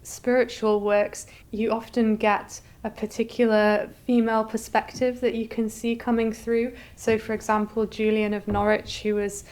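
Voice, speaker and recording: A woman's voice: fairly high pitch, level tone, moderate pace, hardly any pauses, darkish tone. A woman curator speaking as an interview guest in an articulate, explanatory way.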